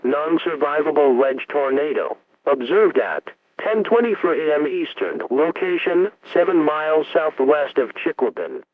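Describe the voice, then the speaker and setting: A man's voice: a little high, natural voice. A man voicing a stern, impersonal emergency alert announcer, reading formal warnings in clipped, detached official phrasing. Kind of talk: scripted emergency broadcast warning. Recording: tinny phone-like emergency radio broadcast.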